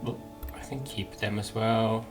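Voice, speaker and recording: A man hosts a game playthrough in a fairly low voice. He muses casually and hesitantly, thinking aloud, while game music plays clearly behind him. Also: fairly even intonation, measured pace. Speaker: gaming video host.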